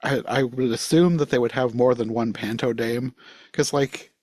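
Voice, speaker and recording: A man's voice: mid-range voice, moderate pace, darkish tone, no echo, clean studio sound. A man hosting a podcast in a casual, conversational style. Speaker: podcast host.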